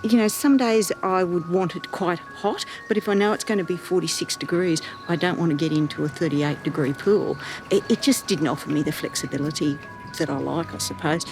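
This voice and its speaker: Woman householder, low-ish, relaxed and chatty. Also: brisk, few pauses, faint music behind, poor audio. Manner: chatty, plain-spoken. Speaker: householder interviewee. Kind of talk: casual interview answers.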